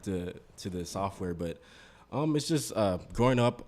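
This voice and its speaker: A man, a music producer, talking calmly in a casual studio interview. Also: deep voice, normal speed.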